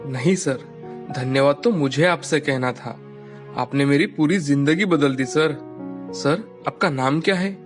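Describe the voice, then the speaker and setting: Woman voicing an earnest young village man, pitched low. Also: unhurried, faint music behind. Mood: concerned, grateful. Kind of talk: moral storybook character dialogue.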